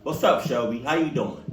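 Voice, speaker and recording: Animated young man joking around. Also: medium pitch, roomy sound, a little hiss or hum. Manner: joking, teasing, animated asides. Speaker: reaction video host. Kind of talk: casual reaction commentary.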